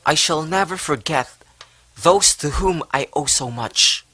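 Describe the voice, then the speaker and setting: A man's voice: on the high side, measured pace, sharp tone, soft background music. A man playing a devout, eager Spanish friar in a historical stage play, earnest and reverent.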